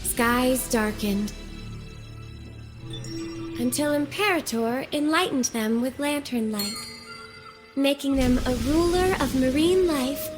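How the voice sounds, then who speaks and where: A woman voicing a gentle, earnest young female scholar in a video game, speaking in a measured, articulate, softly formal way. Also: high voice, animated, over music. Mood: earnest, explanatory. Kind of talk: video game character dialogue.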